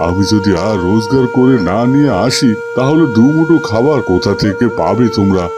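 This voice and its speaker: A man's voice: lowish voice, lively intonation, brisk, lightly acted. A man voicing a patient, protective middle-aged village uncle in a fairy-tale cartoon, reasoning in a fatherly way over music. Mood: exasperated, reasoning. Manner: reasoning, fatherly, gently remonstrating.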